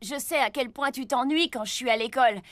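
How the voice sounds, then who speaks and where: A woman's voice: high voice, fairly quick, natural voice. A woman voicing a kind, inventive schoolboy who talks in a friendly, eager way. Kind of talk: French cartoon dub. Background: music.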